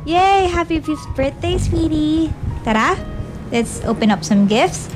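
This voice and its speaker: A doting, teasing young Filipino mother in a radio drama. Her voice is high and her pace very slow. Loud music plays behind her. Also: animated. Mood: cheerful, doting. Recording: loud music over dialogue.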